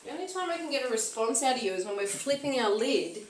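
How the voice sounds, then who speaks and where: A woman's voice: fairly high pitch, expressive, fast, reverberant, slight background noise, poor audio. Blunt exasperated woman. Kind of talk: heated phone argument.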